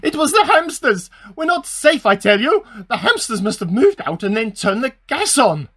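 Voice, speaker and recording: A man's voice: very high-pitched, big pitch swings, moderate pace, fairly bright tone, breathy edge, heavily acted. A man playing an agitated, paranoid amateur scientist in a radio play diary, with melodramatic delivery. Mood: frantic. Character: paranoid male amateur scientist at war with hamsters.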